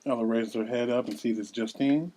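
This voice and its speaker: Medium-pitched stage directions reader, noisy recording. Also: steady tone, a little fast, dark tone. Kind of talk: scripted narration at a table read.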